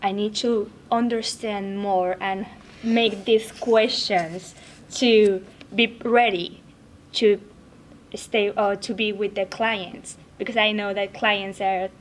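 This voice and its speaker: A woman from Mexico speaks English with a Mexican Spanish accent, halting and often restarting her words. Her voice sits in a middle pitch. Faint noise sits behind her. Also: steady tone. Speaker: fitness coach. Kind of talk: roundtable chat.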